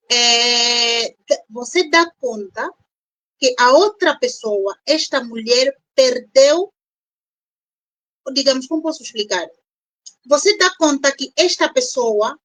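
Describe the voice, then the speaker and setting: High-voiced Angolan woman explaining. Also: expressive, takes it slowly, long pauses, fairly bright tone, no room sound. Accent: Angolan Portuguese. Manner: didactic, lists points one by one. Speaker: guest expert, doctor. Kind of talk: explanatory interview talk.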